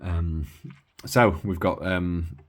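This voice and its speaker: Very low-pitched man, casual demonstration. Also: fairly even intonation, drawn-out words. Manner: hesitant, matter-of-fact technical explaining. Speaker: hobbyist demonstrating a used CB radio. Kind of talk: casual unscripted bench demonstration.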